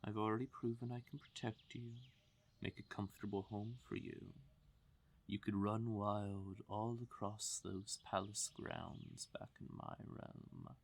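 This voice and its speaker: A man voicing a smug, possessive fae king in an intimate roleplay audio drama, teasing and commanding with silky menace and drawn-out pauses. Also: lowish voice, fairly even intonation, creaky. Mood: coaxing, boastful.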